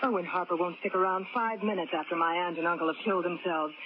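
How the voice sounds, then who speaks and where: An actress playing a scheming greedy heiress. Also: female voice, on the low side, fairly even intonation, fast talker, lightly acted. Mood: bitter. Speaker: villain niece. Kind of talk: 1940s radio acting. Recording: tinny old radio broadcast with hiss.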